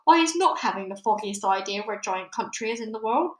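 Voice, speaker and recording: A friendly storybook giant, acted out by a woman, with a highish voice in a roomy space. He jumbles his grammar and invents words, stubbornly sure of himself. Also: animated, fairly quick, a bit bright. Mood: calm, confident. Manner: garbled, ungrammatical speech with invented words. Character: big friendly male giant, muddled words, cheerfully stubborn.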